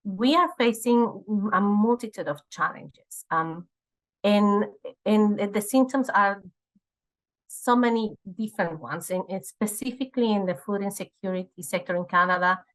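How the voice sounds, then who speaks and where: A woman in her forties from Ecuador, answering interview questions in an earnest, halting way with many fillers and repeated words. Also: medium pitch, low-quality recording. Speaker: guest, nonprofit fundraising director.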